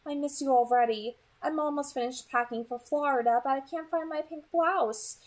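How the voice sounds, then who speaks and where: A woman's voice: high voice, normal speed, pitched above the performer's own voice, lightly acted. A woman voicing an anxious, scatterbrained, impatient mother in a storybook read-aloud. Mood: fretful, affectionate. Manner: fretful, insistent.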